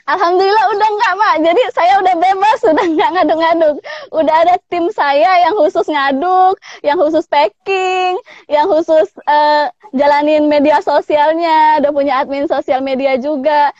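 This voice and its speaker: A woman's voice: high-pitched, steady tone, normal speed, darkish tone, dry recording, highs cut off. A woman from Palembang in her thirties, a food business owner chatting casually in an interview over a video call, with frequent laughs. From Palembang, Indonesia. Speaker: pempek business owner, guest.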